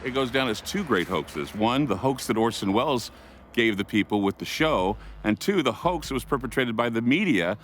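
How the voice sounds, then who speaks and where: A man's voice: mid-range voice, expressive, moderate pace, a bit bright, soft background music. A man giving explanatory commentary in a documentary interview. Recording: faint background hum.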